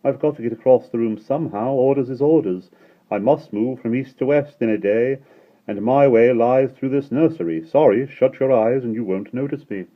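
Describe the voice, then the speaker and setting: A man voicing a talking beam of sunlight, cheerful and obliging, in a raised, brighter voice. It speaks a little louder than the narration. The recording is dull and lo-fi. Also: medium pitch, normal speed, voice pushed up, natural voice. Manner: cheerful and apologetic. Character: polite cheerful sunlight, a warm male presence.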